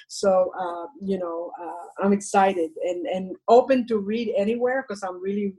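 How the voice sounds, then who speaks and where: An older woman from Cuba, a Spanish native speaker, talking as a poet guest and reading her poem aloud in an earnest, measured way. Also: medium pitch, steady tone, darkish tone, slightly rough, rough recording. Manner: earnest, measured recitation with repeated refrains. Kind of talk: interview and poetry reading.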